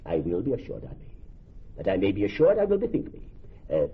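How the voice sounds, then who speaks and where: A man playing a shrewd, resentful Venetian moneylender in classical Shakespearean radio drama, deliberate and theatrical, musing over repeated phrases. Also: sits low, wildly swooping pitch, breathy edge, heavily acted. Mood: animated, calculating. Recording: dull, lightly noisy old radio recording.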